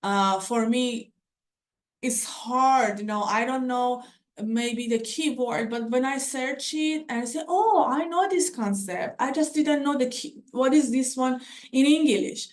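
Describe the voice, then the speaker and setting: A woman's voice: high-pitched, unhurried. Young woman w/ persian accent presenting, lots of ums and restarts, sounds far from the mic. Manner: hesitant, many fillers and restarts. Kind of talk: conference presentation.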